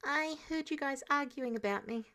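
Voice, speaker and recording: A woman's voice: high voice, moderate pace, pushed and bright, pitched above the performer's own voice. A woman voicing an anxious, guilt-ridden teenage superhero boy in a story reading, hesitant and subdued. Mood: uneasy. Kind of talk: character dialogue in fan fiction reading.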